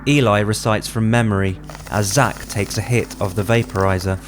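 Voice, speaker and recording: A man's voice: on the low side, fairly even intonation, moderate pace, noisy background. A man reading screenplay stage directions as a scripted drama narration. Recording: music under the voice.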